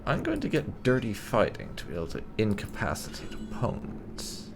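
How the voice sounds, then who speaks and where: A man thinking aloud during a game playthrough, talking in a dry, wry and unhurried way. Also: fairly low pitch, wildly swooping pitch, creaky, background noise. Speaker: gaming channel host. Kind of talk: casual gameplay commentary.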